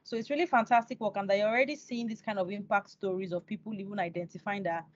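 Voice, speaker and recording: Young woman, podcast host, encouraging and conversational, medium pitch, dry close sound. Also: steady tone, fast talker, dry recording. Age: young adult. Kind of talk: conversational interviewing. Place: indoors on a video call. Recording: dry but dull video call.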